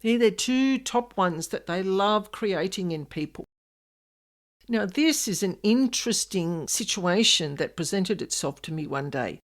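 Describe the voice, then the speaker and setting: A woman's voice: medium pitch, animated, unhurried. A woman giving a conversational podcast monologue, speaking emphatically and directly to the listener as host. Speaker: podcast host and paranormal specialist.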